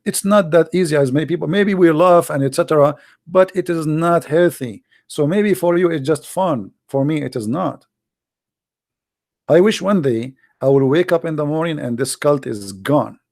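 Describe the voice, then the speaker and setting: A man's voice: fairly high pitch, normal speed, a little mellow, no room sound, clean studio sound. A man with an Arabic accent, a native Arabic speaker, hosting an informal, impassioned monologue in a blunt, emphatic manner with repeated phrases and rhetorical questions. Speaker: host, religious polemicist.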